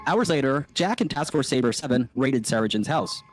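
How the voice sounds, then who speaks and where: A man reading a scripted story in a steady storytelling manner. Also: mid-range voice, moderate pace, faint music behind.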